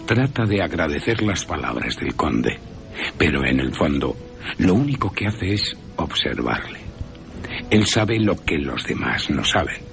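A man with an accent from Spain narrating a horror story for radio in a theatrical, suspenseful way with long pauses. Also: low voice, vocal fry, a bit bright. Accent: Spanish from Spain. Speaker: radio drama narrator and presenter. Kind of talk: dramatic scripted horror narration.

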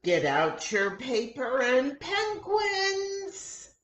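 A woman reading aloud and voicing a silly, cheerful female schoolteacher in a high sing-song voice. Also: wildly swooping pitch, very slow, fairly bright tone, full character voice. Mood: cheery. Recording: echoey room.

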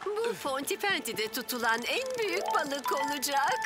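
Doting cartoon mother: fussy, gushing, very high, animated intonation. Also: moderate pace. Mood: proud, excited. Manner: gushing, melodramatic. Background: loud background music.

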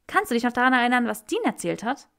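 A gossipy young male rebel who keeps blurting things out, with a voice pitched far above the reader's own, as a woman puts him on. Lively and eager, with a gravelly edge, he jumps between high excited bursts in this storybook reading. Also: fast talker, full character voice. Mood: eager gossiping.

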